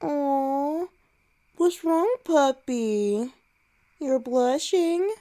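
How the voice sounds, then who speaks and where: A woman's voice: high-pitched, extremely slow, dark tone. A woman playing a teasing alpha she-wolf who is scared of heights and easily flustered, in a romantic ASMR roleplay. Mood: playful, teasing.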